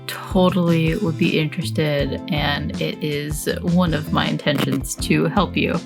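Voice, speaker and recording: A woman's voice: sits low, fairly even intonation, a little slow, vocal fry, music in the background. A woman playing in a tabletop game, easygoing and laid-back. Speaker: player.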